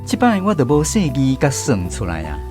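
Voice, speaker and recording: A man's voice: mid-range voice, huge pitch swings, moderate pace. A man narrating a village story in a radio drama, looking back on his childhood. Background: music.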